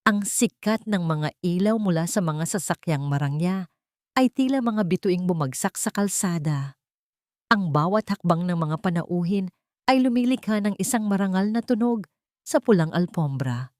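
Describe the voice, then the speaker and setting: A woman reading a scripted dramatic story in a deliberate, melodramatic storytelling manner. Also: fairly low pitch, dark tone, no echo, clean studio sound. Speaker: storyteller, narrator.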